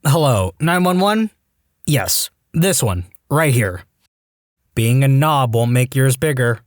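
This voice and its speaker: A male narrator reads posts aloud with a wry, animated delivery and a pitch that swings up and down. His voice is middle in pitch and recorded dry and close, with no room sound. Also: takes it slowly, no room sound. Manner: lively, wry reading. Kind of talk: storytime narration.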